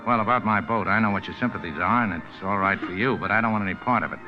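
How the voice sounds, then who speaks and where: A man as a low-voiced, cynical American skipper. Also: fast, music in the background, close to an everyday voice. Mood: dismissive. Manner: clipped, dry. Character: curt, cynical American boat skipper, tough and unsentimental. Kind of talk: 1940s radio acting. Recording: muffled old radio recording.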